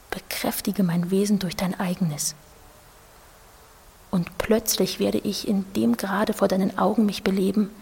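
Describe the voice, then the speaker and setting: A woman playing an ethereal, seductive female android who pleads in a slow, solemn, poetic whisper in an audio drama. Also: mid-range voice, heavily acted. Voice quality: breathy, whispering. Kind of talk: literary audio drama acting. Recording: long reverberant hall effect.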